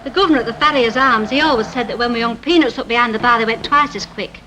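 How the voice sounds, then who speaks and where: An actress playing a chatty, eager new corner shop owner with a Northern English accent in a 1960s TV drama. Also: female voice, on the high side, fast, natural voice. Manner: keen, chatty. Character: chatty, eager female newcomer taking over a corner shop. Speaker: actress in a TV soap. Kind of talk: 1960s British TV drama acting. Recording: faintly noisy old 1960 TV soundtrack.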